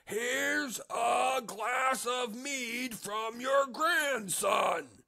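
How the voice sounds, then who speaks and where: A man voicing an excitable innkeeper with a fiery temper, loud and pleading. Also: sits high, fairly quick, pitched above the performer's own voice. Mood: shouting.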